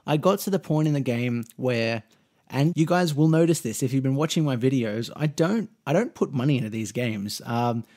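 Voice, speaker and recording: A man's voice: mid-range voice, a little mellow. A man in his twenties with an Australian accent, chatting casually and rambling through a Q&A vlog for his gaming channel. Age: 20s. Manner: rambling, with frequent restarts and fillers; easygoing, chatty. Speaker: mobile gaming YouTuber.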